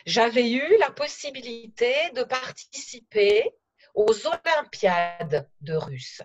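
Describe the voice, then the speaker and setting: A middle-aged woman recalling her travels in a slow, careful storytelling manner over a video call from her living room. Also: fairly high pitch, animated, lo-fi sound. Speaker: interview guest. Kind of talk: conversational podcast interview.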